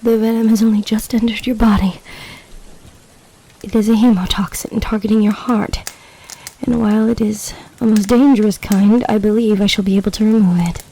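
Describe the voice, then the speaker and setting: A woman acts out an imperious lamia empress, possessive and deeply in love. Her voice is dark and velvety, with formal, stately phrasing and a dramatic weight suited to a fantasy roleplay audio drama. Some noise sits behind the recording. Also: lightly acted, poor audio. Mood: worried, tender. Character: regal, possessive, lovestruck snake-woman empress.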